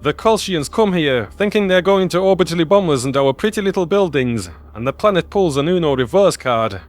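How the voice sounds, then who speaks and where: A man's voice: a little high, expressive, brisk, voice pushed up, natural voice. A man voicing an opinionated young human crew member who argues colloquially in a science fiction audio story. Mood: defensive, explaining. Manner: argumentative, colloquial.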